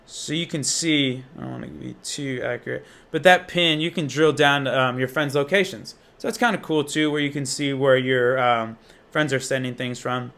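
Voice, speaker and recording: Bright, punchy young American man. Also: medium pitch, moderate pace, vocal fry. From Indiana, United States. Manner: informal, slangy, enthusiastic. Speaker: app reviewer and demonstrator. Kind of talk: casual unscripted app walkthrough.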